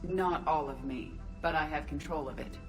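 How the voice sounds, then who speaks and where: An actress voicing a calm female artificial intelligence in a robot body, speaking in a precise, measured, emotionless way, with game music behind. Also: deep voice. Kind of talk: video game voice acting.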